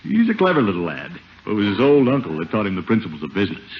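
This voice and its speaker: An actor playing a pompous, boastful middle-aged uncle, jovial and blustery, in a 1950s radio comedy. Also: male voice, on the low side, wildly swooping pitch, rapid-fire. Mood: self-satisfied. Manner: pompous, swooping, self-satisfied. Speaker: lead comic character. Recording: muffled, noisy 1950 radio broadcast.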